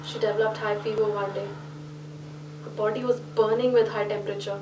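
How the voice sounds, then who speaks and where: A roomy, slightly distant recording with faint noise. A woman acts a troubled, well-to-do Indian mother in a film monologue. She speaks haltingly and emotionally, confiding her distress. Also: mid-range voice, little pitch movement, natural voice. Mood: anguished. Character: distraught upper-middle-class mother of a little girl. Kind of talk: dramatic monologue in a short film.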